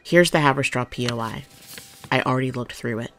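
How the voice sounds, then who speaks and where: A woman's voice: a bass voice, a little fast, fairly bright tone, faint music behind. An actress playing a loyal, candid policewoman who speaks deferentially but frankly to her captain in an audio drama. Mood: matter-of-fact, candid.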